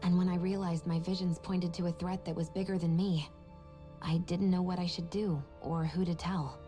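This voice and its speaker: An actress voicing a reserved, composed young woman in a video game cutscene, speaking in a measured way. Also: fairly low pitch, fairly even intonation, mellow, soft background music, natural voice.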